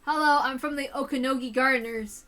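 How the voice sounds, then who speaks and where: Woman playing a flattering male gardener. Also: high-pitched, a little fast, soft background music. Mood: courteous. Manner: courteous, flattering. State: hoarse.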